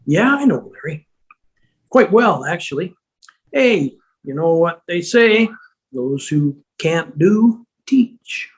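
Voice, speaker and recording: A woman playing a smug, condescending male asylum director with a measured, husky voice in a drama table read. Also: on the low side, lively intonation, heavily acted.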